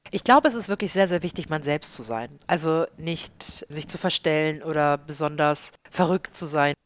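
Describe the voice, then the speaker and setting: A woman in her thirties with a Hamburg accent, a chef and restaurant owner answering interview questions with lively enthusiasm. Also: low-pitched, normal speed, slight background noise. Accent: Hamburg German. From Hamburg, Germany.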